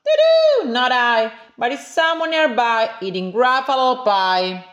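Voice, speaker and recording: Storybook forest animal, played by a woman: small, sly, high and singsong, swooping, roomy room sound. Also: very animated, unhurried, highs cut off. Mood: playful. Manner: singsong, rhyming. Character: small sly woodland creatures, helpfully pointing the way.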